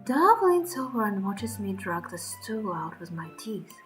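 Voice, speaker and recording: A woman reading a story aloud in a dramatic, expressive and deliberate first-person narration. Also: mid-range voice, faint music behind. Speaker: streamer reading a visual novel aloud.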